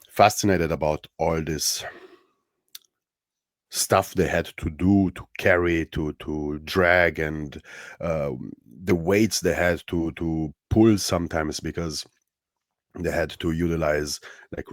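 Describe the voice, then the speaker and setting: A man in his thirties from Romania with a Romanian accent, speaking as a podcast guest in a thoughtful, halting way with many fillers and restarts. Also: very deep voice, steady tone, dry recording, clean studio sound. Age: 30s. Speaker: podcast guest, strongman athlete. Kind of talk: casual interview chat.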